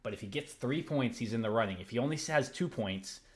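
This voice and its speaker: A man's voice: mid-range voice, expressive, a little fast. A man commentating a strategy game tournament, explaining the rules at length and chuckling often. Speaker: tournament caster.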